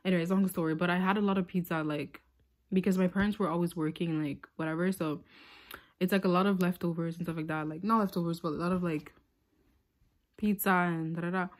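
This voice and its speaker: A woman's voice: on the low side, fairly even intonation, fairly quick. A young woman born in Tanzania telling a casual storytime into her phone, rambling with lots of filler words. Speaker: vlogger. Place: small room indoors. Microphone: phone held close.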